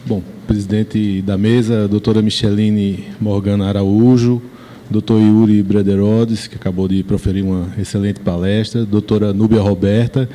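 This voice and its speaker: Brazilian male moderator, formal, deep dark mellow voice. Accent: Brazilian Portuguese. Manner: formal, ceremonious. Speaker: debate moderator, bar council member. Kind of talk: formal greetings at a panel.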